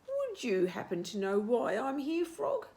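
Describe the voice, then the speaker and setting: Lady doing a mopey cassowary bird for a kids story, high voice, whiny and unsure of himself, slow. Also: huge pitch swings, a little mellow, heavily acted. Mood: glum, puzzled.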